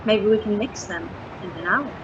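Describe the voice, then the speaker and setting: A woman playing a sly, flirtatious informant in a detective radio drama, coy, deliberate and insinuating. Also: medium pitch, natural voice. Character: sly, flirtatious female informant selling dangerous secrets. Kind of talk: hard-boiled detective radio drama, femme fatale. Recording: dull, noisy track with street ambience.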